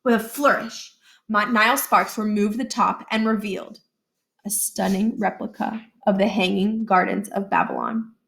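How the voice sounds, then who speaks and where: A woman reads a children's story aloud at a medium pitch. Her narration is expressive, with deliberate pauses that set off short, emphatic phrases. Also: creaky. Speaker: read-aloud reader.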